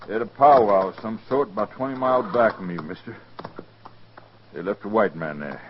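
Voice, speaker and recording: Through the dull, faintly noisy sound of an old radio western, a man plays a wary cowboy. He is low-voiced, dry and grim, with folksy, plain-spoken phrasing. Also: fairly quick, close to an everyday voice. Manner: folksy rural grammar, dry and laconic. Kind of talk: 1950s radio western acting.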